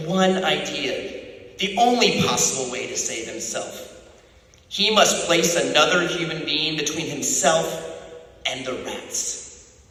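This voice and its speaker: Male narrator for dramatic fiction, intense and theatrical, forceful tone, heavily reverberant space. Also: fairly high pitch. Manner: intense, theatrical, with suspenseful pauses. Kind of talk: dramatic literary reading. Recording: very echoey, reverberant room.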